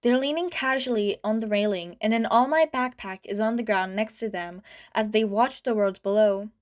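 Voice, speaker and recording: A woman reading fan fiction aloud as a podfic, narrating in a light, steady way. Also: medium pitch, moderate pace. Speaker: podfic reader.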